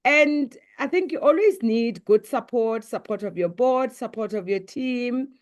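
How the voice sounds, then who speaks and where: A middle-aged South African woman whose first language is Sepedi, answering interview questions as a business executive in a measured, composed way. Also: fairly high pitch.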